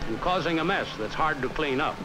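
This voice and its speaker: An American man reading a scripted 1950s training film narration in a measured, instructive, carefully enunciated way, on a vintage film soundtrack. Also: fairly high pitch, lively intonation, poor audio. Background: background music.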